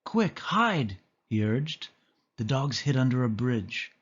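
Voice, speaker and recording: A man reading a children's story aloud as an expressive narrator. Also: mid-range voice, unhurried, lo-fi sound. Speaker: storybook reader.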